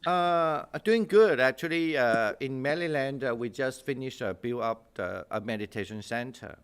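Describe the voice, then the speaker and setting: A man's voice: medium pitch, moderate pace, clear, resonant tone. A jovial man with a mixed, non-native English accent, chatting casually as he hosts an online discussion as a monk. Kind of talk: casual chat. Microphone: video call.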